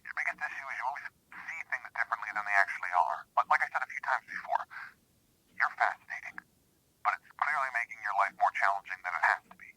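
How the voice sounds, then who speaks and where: A man's voice: lowish voice, expressive, quick pace, bright, forceful tone, breathy edge, no room sound, heavily acted, low-quality recording. A man acting as a skeptical, rational sheriff's office worker and concerned friend, speaking bluntly but caringly in a naturalistic horror audio drama. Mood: exasperated, rational.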